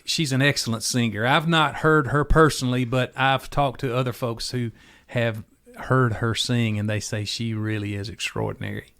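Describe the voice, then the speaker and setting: A man's voice: mid-range voice, fairly even intonation, normal speed, a bit bright. A man hosting a podcast, encouraging and folksy as he draws out his guests. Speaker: podcast host. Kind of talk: casual podcast interview.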